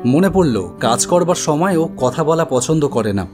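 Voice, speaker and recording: An actor playing an anxious idol-maker who recounts a horror story in a dramatic, expressive first-person narration. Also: male voice, a little high, a little slow. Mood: relieved. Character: anxious male idol-maker recounting his ghostly encounter. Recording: studio voice over music bed.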